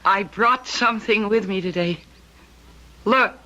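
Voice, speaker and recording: A woman's voice: mid-range voice, measured pace, bright, forceful tone. An actress playing a wistful, dreamy young married woman in an old-time radio drama, with a childlike earnestness. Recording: dull lo-fi radio recording.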